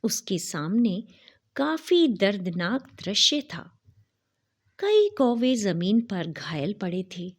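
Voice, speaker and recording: A woman narrating a children's folk tale in a deliberate, dramatic storytelling style. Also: medium pitch, very animated. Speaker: storyteller and podcast host. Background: music behind the voice.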